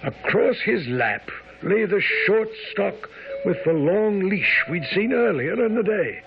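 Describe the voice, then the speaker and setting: An actor playing an earnest gentleman companion, telling a mystery tale theatrically in a radio drama. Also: high-pitched, very animated, slow, gravelly, heavily acted. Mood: awed, narrating. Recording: dull radio recording, faint noise.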